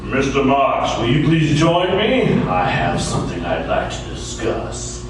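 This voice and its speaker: Echoey, distant stage recording: actor as formal, uneasy rich gentleman in radio noir. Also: mid-range voice, big pitch swings, a little slow. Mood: grave, uneasy. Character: nervous, formal wealthy male client in detective mystery. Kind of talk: noir radio drama spoof. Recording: noisy, very echoey live room, distant mic.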